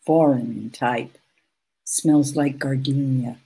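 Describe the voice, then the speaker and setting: Actress doing noir radio secretary, efficient n familiar, takes it slow. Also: female voice, a bass voice, fairly even intonation, warm tone, husky, full character voice, poor audio. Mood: brisk, knowing. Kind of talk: 1940s radio detective drama acting.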